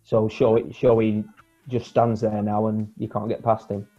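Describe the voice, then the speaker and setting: Forties male guest, low dark voice, easygoing rambling chat, lo-fi video call sound. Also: measured pace. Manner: relaxed, rambling, many fillers and restarts. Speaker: guest, former footballer. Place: home room, indoors. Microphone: earphone mic on a video call. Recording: dull lo-fi video call audio with faint music.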